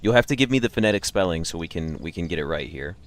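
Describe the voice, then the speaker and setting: A man's voice: low-pitched, expressive, quick pace. A man hosting a livestream, chatting casually with viewers in a rambling, joking, slangy way. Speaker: livestream host. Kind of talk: casual chat with live stream viewers.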